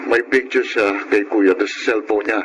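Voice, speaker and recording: A man's voice: fairly low pitch, steady tone, moderate pace, a bit bright, close to an everyday voice. A young man playing a chatty, respectful younger brother in a radio drama, with a Filipino accent and casual manner. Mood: chatty, informative. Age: young adult. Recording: slightly noisy radio drama recording.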